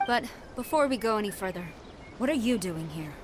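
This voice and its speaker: A woman's voice: mid-range voice, lively intonation, fairly quick, noisy background, lightly acted. A woman voicing a stern female commander in a video game, firm and formal.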